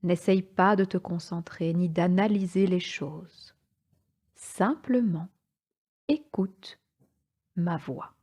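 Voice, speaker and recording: Very slow, mellow woman guiding relaxation. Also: on the low side, breathy edge. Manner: calm, soothing, unhurried pauses between short phrases. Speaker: yoga nidra instructor. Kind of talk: guided relaxation meditation.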